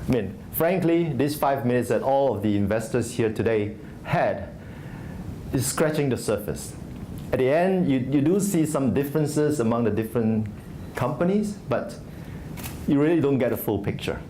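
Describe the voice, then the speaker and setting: A man's voice: mid-range voice, expressive, moderate pace, dark tone, slight background noise. A man from Singapore, an investor giving a conversational conference talk full of fillers and restarts. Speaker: venture investor speaking at a startup conference. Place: conference stage.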